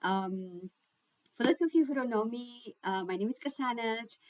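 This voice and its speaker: A woman's voice: fairly high pitch, moderate pace, darkish tone, no echo. Ethiopian-accented woman chatting casually, dry sound. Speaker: YouTube host giving career and scholarship guidance. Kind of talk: casual chat to camera. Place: indoors, homemade home recording setup. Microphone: separate microphone.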